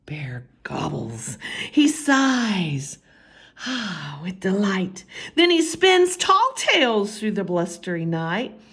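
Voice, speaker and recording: A woman's voice: medium pitch, very animated, slow pace, fairly bright tone, breathy edge. A woman reading a picture book aloud for children in a theatrical way, with whispered asides and stretched-out refrains. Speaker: children's story time reader.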